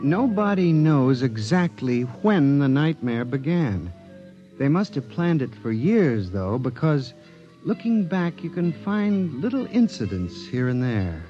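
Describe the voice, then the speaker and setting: An actor playing a nervous male statistical clerk who narrates a 1950s radio drama hesitantly. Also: mid-range voice. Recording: dull old radio broadcast with faint music.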